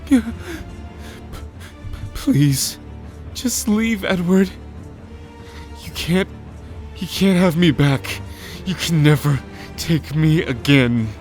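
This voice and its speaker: A man's voice: fairly high pitch, slow, pauses often, a little mellow, faint music behind. A man playing a timid, loyal young half-merman in a fantasy audio drama, stammering and pleading with emotion. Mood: frightened, pleading.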